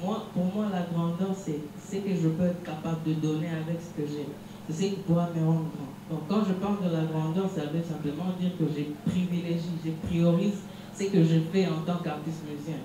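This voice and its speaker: Musician from DR Congo, echoey and distant. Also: male voice, on the high side. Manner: measured, reflective, with frequent restarts. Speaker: musician guest. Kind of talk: interview answers. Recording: echoey room from far off, noisy hum.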